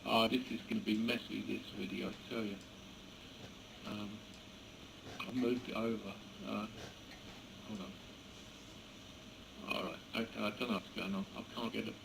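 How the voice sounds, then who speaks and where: Male radio hobbyist, halting casual delivery, gravelly fry, clearly noisy camera audio. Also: lowish voice, steady tone. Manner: hesitant, halting, chuckling. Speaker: amateur radio operator. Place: indoors beside a computer.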